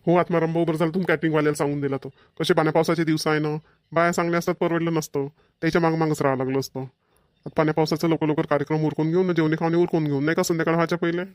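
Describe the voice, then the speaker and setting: A man's voice: a little high, level tone, racing, dry recording, studio quality, close to an everyday voice. A man voicing a sociable, practical village family man in a cartoon comedy, with a Vidarbha Marathi accent. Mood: practical, brisk.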